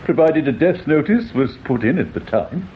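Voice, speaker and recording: A fussy small-town English newspaper editor, acted by a man, with a fairly high, very animated voice. He is hesitant and easily puzzled, in radio drama style. The recording sounds dull with a little noise. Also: moderate pace, natural voice. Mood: hesitant, helpful.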